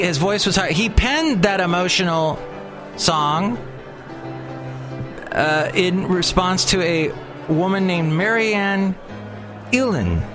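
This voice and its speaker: A man hosting his own podcast in casual solo talk, rambling and chatty with lots of fillers and restarts. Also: on the high side. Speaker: podcast host.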